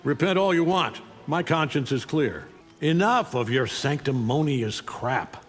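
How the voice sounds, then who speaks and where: An actor playing an arrogant, menacing alien superhero conqueror, imperious and taunting, in a fighting game. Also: male voice, a little high, animated, a little slow, in a large hall, soft background music. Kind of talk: fighting game character dialogue.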